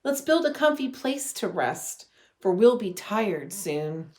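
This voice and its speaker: Slow-reading woman, storybook style. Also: medium pitch, very animated, darkish tone. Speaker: religious education director reading a children's story. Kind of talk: storybook read-aloud. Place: living room at home.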